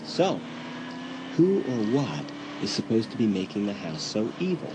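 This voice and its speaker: Ironic teenage boy, lively intonation, teasing, audio drama, noisy background. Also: lowish voice, measured pace. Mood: wry. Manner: dry, ironic.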